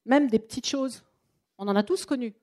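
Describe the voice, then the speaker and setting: A woman presenting a live coaching workshop to a room of people, talking in a chatty, lively way and acting out what clients say. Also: a little high, moderate pace. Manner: lively, chatty, acts out quotes. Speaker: trainer and coach. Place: training room with an audience.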